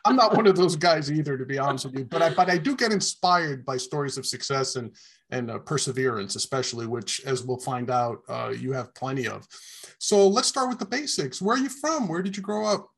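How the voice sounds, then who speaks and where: A man hosting an interview show, friendly and a little halting as he asks questions. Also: mid-range voice, animated, gravelly, a bit bright, breathy edge. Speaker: podcast host. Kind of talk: casual interview.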